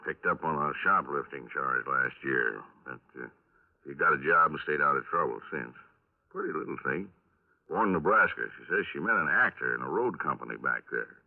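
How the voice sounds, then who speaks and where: A man acting a folksy, unhurried police detective in a 1950s radio drama, speaking in a hesitant, rambling, casual way. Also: rumbling low, expressive, vocal fry, exaggerated voice. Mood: matter-of-fact. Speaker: actor in a radio crime drama. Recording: narrow-band vintage 1950s radio recording.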